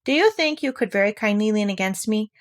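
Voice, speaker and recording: A woman's voice: medium pitch, animated, fairly quick, natural voice. A woman voicing a cheerful, simple-minded male teddy bear who talks in an eager, singsong way.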